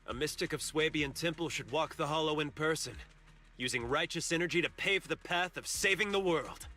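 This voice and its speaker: A man's voice: on the high side, normal speed, sharp tone. A man voicing a self-important, self-styled martial arts mystic in a video game, with pompous, theatrical delivery. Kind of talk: English video game voice acting.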